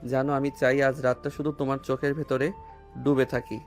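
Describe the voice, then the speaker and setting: A man plays a doting young husband in a romantic audio drama, talking fast and sweetly as he teases his wife. His voice sits at a middle pitch. Soft music plays under it. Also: flat delivery, close to an everyday voice. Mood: tender. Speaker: voice actor.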